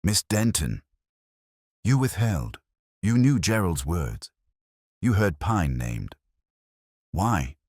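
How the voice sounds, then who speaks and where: A man's voice: low voice, very animated, takes it slowly, long pauses, a little mellow, slightly rough, no room sound, clean studio sound, voice pushed up, full character voice. A man voicing an imperious, shrewd detective in an audiobook, with formal, clipped, commanding pronouncements. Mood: sharp, reproving. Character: imperious, shrewd male detective, coldly judging.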